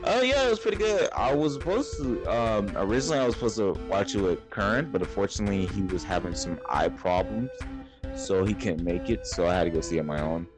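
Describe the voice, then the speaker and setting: A man's voice: on the low side, expressive, fairly quick, music in the background. A young man hosting a podcast, chatting casually and rambling, often restarting his sentences. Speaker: podcast host. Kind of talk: casual chat.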